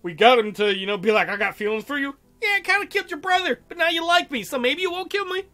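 A man's voice: extremely high pitch, sing-song, quick pace, pushed and bright. A man reading a visual novel aloud in a theatrical way, adding irreverent joking commentary.

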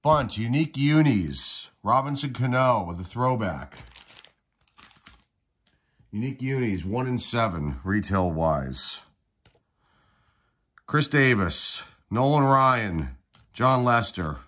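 A man's voice: mid-range voice, slow pace, long pauses. Congested man rattling off names. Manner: relaxed, rattles off player names. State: congested, getting over a cold. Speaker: card-collecting channel host. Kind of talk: casual pack-opening commentary. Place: indoors at a table.

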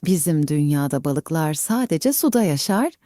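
Eager little boy full of questions, bedtime storybook, voiced by a woman, mellow and close. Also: sits low, animated, moderate pace, no echo. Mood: excited. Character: curious excited young boy.

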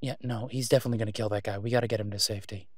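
A man's voice: fairly low pitch, steady tone, very fast, dry recording, lightly acted. A man playing a sardonic, exasperated but protective vigilante antihero in an audio roleplay, with a weary, clipped manner. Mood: resigned, firm. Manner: sarcastic, weary, clipped, emphatic when irritated. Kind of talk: solo audio roleplay acting, conversational.

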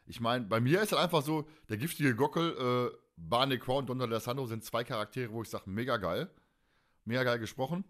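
A man's voice: mid-range voice, fairly quick, a bit bright. A man in his forties hosting a podcast in a casual, colloquial and enthusiastic chat style. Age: 40s. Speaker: podcast host.

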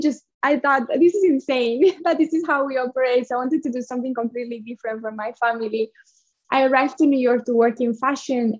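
A woman's voice: a little high, a little mellow. Colombian woman on a muffled video call. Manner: earnest, talks with her hands. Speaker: guest, coffee company founder. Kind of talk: remote interview. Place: small bright room at home.

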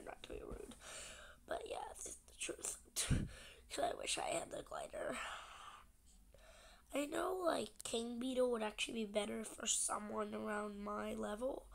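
A young gamer with a stuffy, congested nose, chatting casually and often half-whispering while playing a game. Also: female voice, mid-range voice, vocal fry. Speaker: gaming channel host. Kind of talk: casual gameplay commentary.

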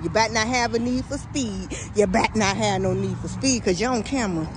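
A woman talks to her viewers in a loose, sassy walk-and-talk. She films on a handheld phone as she walks along a busy street. The passing traffic is loud in the recording. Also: fairly high pitch. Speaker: vlogger filming a walk. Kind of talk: casual walk-and-talk commentary. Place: outdoors by a busy suburban road. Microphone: phone camera held while walking. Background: passing car traffic.

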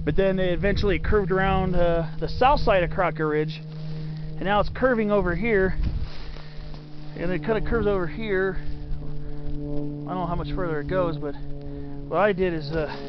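A man giving casual, unscripted commentary on a hike, halting and thinking aloud as he goes. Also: sits high, steady tone, over music. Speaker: hiker narrating his own hike. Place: outdoors on a forested mountain slope. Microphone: handheld camera mic. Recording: muffled camera audio, loud wind noise.

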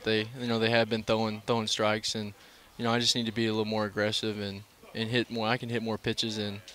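A young male college baseball player in a postgame interview, speaking through a headset mic at an outdoor ballpark at night, halting, with many fillers and restarts. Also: fairly low pitch, a bit bright. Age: young adult.

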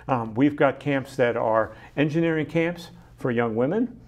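A man's voice: mid-range voice, measured pace. A middle-aged man, guest on a studio interview show, talking enthusiastically about his science center with many ums and restarts, on a lapel mic. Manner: enthusiastic, gestures a lot, frequent ums and restarts. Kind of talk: casual interview chat.

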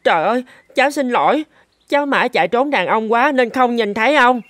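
An actor playing a playful, mischievous little boy elephant in a children's cartoon, with a childlike, expressive delivery. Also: mid-range voice, brisk, dry recording, lightly acted. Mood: apologetic, helpful. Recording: music bed behind the voice.

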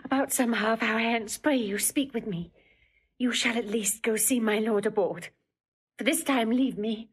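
Proud, lovelorn young princess, breathy and rough-edged, higher register, intense classical delivery. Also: female voice, unhurried. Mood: commanding. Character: lovelorn young princess, proud, passionate, grieving her exiled husband. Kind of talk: classical Shakespearean audio drama acting.

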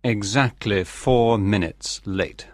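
A man acting a reserved, precise gentleman. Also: lowish voice, takes it slowly, no echo, clean studio sound. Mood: matter-of-fact. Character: calm, exacting English gentleman of about forty. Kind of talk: dramatized audiobook acting.

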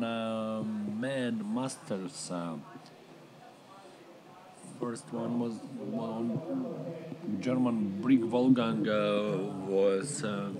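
A middle-aged man giving live race commentary in halting English, with many fillers and pauses. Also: mid-range voice.

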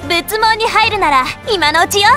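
A woman's voice: very high-pitched, normal speed, music in the background. A woman voicing a spirited, cheerful young female Buddhist monk in an anime game, with a bubbly, emphatic delivery. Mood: fired up. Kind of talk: Japanese anime game battle voice lines.

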